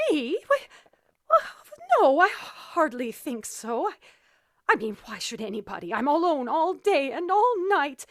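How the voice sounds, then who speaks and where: An actress playing a nervous, demanding, self-pitying bedridden woman in a 1940s-style radio thriller, with fretful, imperious, halting delivery. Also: sits high, very animated. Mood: anxious, unsettled.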